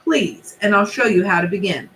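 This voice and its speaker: A woman voicing a polite, quick-witted man in a children's story, eager and placating. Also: mid-range voice, animated, fairly bright tone, slight background noise, natural voice. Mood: coaxing. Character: polite clever man, the narrator's father. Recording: echoey room.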